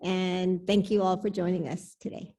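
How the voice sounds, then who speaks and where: A woman's voice: on the low side, level tone, moderate pace, warm tone. A woman moderating a group discussion, giving informal thanks and announcements. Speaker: discussion moderator at a church service.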